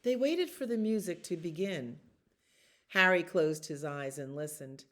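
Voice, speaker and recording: Woman, low pitch, slow pace, children's storybook reading, expressive and deliberate storyteller. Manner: expressive, deliberate storytelling with drawn-out repeated words. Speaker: librarian reading stories for children. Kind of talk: children's storybook read-aloud.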